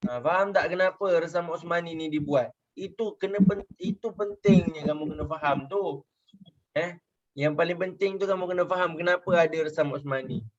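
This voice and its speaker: A young man with a Malaysian Malay accent leads a children's class over a video call, his voice sitting a little higher than most men's. He talks patiently, repeats his questions and checks often that the pupils follow. The audio comes through dull and muffled. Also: fairly quick. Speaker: religious teacher. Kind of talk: online class teaching children.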